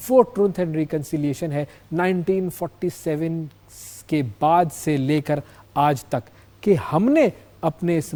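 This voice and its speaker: A man with a Pakistani accent presenting and interviewing as a current affairs host, in a measured, emphatic, formal manner. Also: fairly high pitch, mellow. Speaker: news programme host.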